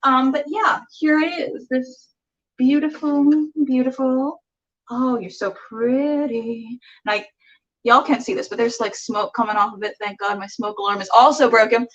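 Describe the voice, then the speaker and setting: A woman's voice: on the high side, steady tone, moderate pace, far from the mic, lo-fi sound. A woman hosting a podcast with casual, playful, gushing chat, recorded in a room at home. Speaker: podcast host and interviewer. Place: home kitchen. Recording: echoey room, off the mic.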